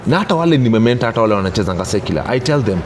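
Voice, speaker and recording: A man's voice: on the low side, sing-song, very fast, background noise. A Kenyan man in his thirties, a gospel DJ giving an interview, talking emphatically and often checking that he is understood. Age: 30s. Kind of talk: casual interview, mixing English and Swahili.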